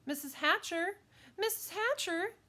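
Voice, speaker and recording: Lady doing a know-it-all little girl, high voice, bossy and eager, kids audiobook. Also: big pitch swings, a little slow, a bit bright, full character voice. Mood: eager begging. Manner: pushy, pleading, sing-song teasing. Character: bossy, eager, cocksure schoolgirl.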